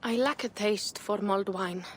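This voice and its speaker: A woman's voice: medium pitch, moderate pace, husky, natural voice. An actress playing a proud, grieving young Viking clanswoman in a video game, speaking with solemn earnestness. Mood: wistful, solemn. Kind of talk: dramatic video game acting.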